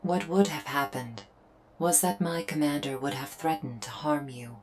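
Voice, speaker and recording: A woman's voice: low-pitched, normal speed, a little mellow, natural voice. A woman playing a solemn alien invader soldier in a roleplay audio drama, speaking in a stilted, formal, earnest way. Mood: explaining, solemn. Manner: stilted, formal, literal speech. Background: background music.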